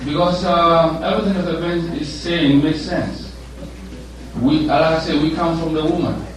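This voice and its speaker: Relaxed man from Ghana, echoey room. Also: fairly high pitch, moderate pace. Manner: relaxed, chuckling, conversational. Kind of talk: personal testimony. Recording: echoey room, distant mic, background noise.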